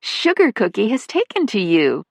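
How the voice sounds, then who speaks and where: A woman voicing a fussy older woman who dotes on her cats, speaking shrilly and high. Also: huge pitch swings, normal speed, vocal fry, no room sound, exaggerated voice. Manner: shrill and high, frantic when upset. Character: fussy older woman, doting cat lady.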